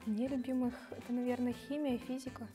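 Higher-voiced twenty-something woman, giggly, studio chat, faint music. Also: flat delivery, a little fast. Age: 20s. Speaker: show participant. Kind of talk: casual interview. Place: studio with grey backdrop. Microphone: lapel.

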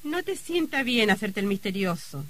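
An actress playing a skeptical, exasperated wife in a melodramatic radio drama, with a reproachful, dramatic delivery. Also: female voice, medium pitch, very animated, normal speed, no echo.

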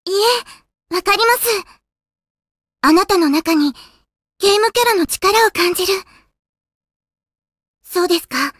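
A woman voicing a polite, gentle, earnest young girl spirit in a Japanese anime-style video game. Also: extremely high pitch, expressive, measured pace, long pauses, no room sound, clean studio sound, heavily acted. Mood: polite, calm. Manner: polite, earnest, soft-spoken courtesy.

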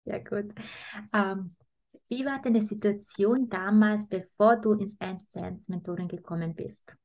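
A young adult woman hosting an interview as a mentor, upbeat and steady as she introduces her guest and asks questions. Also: medium pitch, measured pace. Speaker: host, mentor. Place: bright home office.

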